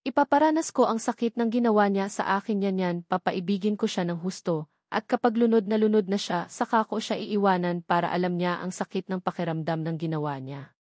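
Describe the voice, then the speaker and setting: A woman's voice: medium pitch, fairly quick, a little mellow, no echo, lightly acted. Audiobook role: cold, resolute young woman, bitter and vengeful, read by a female narrator, very dry sound.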